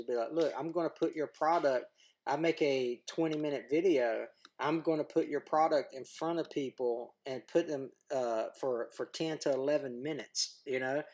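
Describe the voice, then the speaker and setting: A man's voice: on the high side, moderate pace, a little mellow. A plain-spoken man talking casually as a podcast guest, laughing easily and joking about himself. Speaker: guest, YouTuber. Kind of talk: casual conversation.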